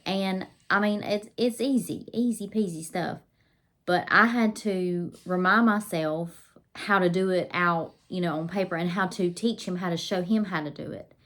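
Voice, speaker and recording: A woman's voice: fairly low pitch, normal speed, a bit bright. A woman vlogging about homeschooling, her voice a little scratchy and nasal from pollen, chatty with many ums and restarts. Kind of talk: casual to-camera talk.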